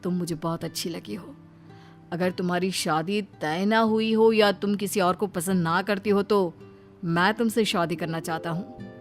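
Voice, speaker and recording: A woman's voice: on the low side, steady tone, moderate pace, lightly acted. A wealthy young suitor in a cartoon family story, acted by a woman. He is confident, smitten and earnest, speaking in a straightforward courting manner. There is music behind the voice. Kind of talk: Hindi moral-story cartoon dialogue.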